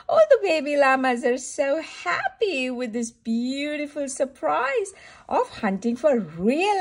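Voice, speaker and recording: Woman reading to toddlers, slow and very animated. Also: high-pitched. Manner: sing-song, exclaiming, simple questions to a small child. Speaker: children's storytime host. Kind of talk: read-aloud storytelling to toddlers.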